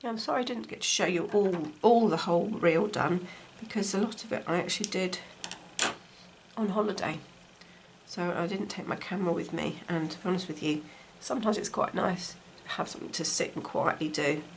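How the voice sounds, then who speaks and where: Woman, croaky post-cold voice, gravelly edge, relaxed talk-through craft tutorial, faint dishwasher hum behind. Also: lowish voice, fairly quick. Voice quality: slightly gravelly. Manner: chatty, talks while stitching. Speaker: textile crafts teacher. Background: dishwasher running.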